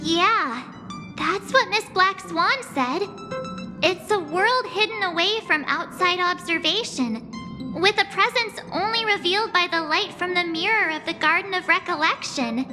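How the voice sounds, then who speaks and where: A woman's voice: very high voice, measured pace. A woman voicing a perky, caring little traveling companion in a video game. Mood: curious, musing. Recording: long reverb, game music behind.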